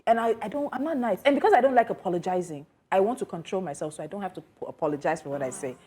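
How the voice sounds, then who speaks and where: A woman from Nashville, Tennessee, chatting as a panelist in a TV studio, expressive and playful, chiming in often. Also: mid-range voice, very fast, slightly rough. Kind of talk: casual panel chat.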